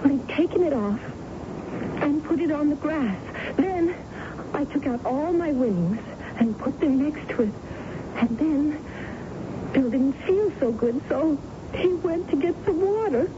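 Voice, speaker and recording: High-voiced distraught young American woman. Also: animated, unhurried. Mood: troubled, confiding. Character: distraught young woman, a daughter recounting a terror. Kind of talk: American radio drama acting. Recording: muffled, noisy vintage radio recording.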